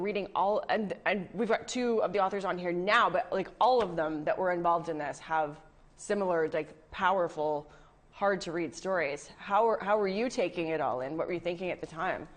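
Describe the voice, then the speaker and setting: A woman hosting a talk show interview, her throat scratchy and her voice giving out. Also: medium pitch. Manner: conversational, encouraging. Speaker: host.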